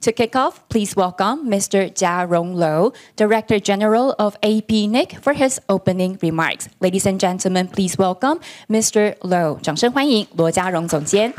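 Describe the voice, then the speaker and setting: A woman working as conference emcee, reading her welcome in a formal, measured way. Her pitch and tone sit at an everyday middle, and she keeps a steady announcing manner. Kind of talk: bilingual scripted announcing. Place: conference hall.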